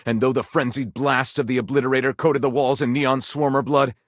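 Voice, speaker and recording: A man's voice: mid-range voice, level tone, fairly quick, dry recording, studio quality, lightly acted. An actor playing a flamboyant, melodramatic male storyteller, narrating lurid pulp adventures in a florid, suspenseful, theatrical style for a game. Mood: dramatic, suspenseful. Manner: dramatic, florid, suspenseful delivery.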